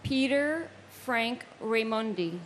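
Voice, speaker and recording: Very slow, deliberate woman reading names. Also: fairly high pitch, animated, a little hiss or hum. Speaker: name reader. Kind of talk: memorial ceremony name reading.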